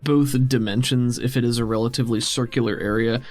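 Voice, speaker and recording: A man's voice: mid-range voice, steady tone, brisk, a little mellow, soft background music. A man improvising narration as the game master of a tabletop role-playing game.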